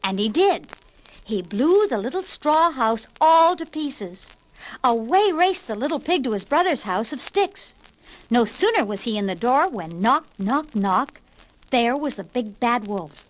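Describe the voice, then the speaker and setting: A woman reading a scripted children's read-along story with expressive, emphatic delivery, on an old vinyl record. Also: fairly high pitch, measured pace, rough recording. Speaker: storybook narrator. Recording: 1960s vinyl record with hiss and pops.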